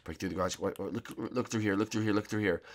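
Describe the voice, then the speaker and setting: A man voicing a panicky young male friend who stammers in urgent, hushed bursts. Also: on the low side, fairly even intonation, fast talker. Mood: frantic whisper. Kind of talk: comedic puppet-style skit voices.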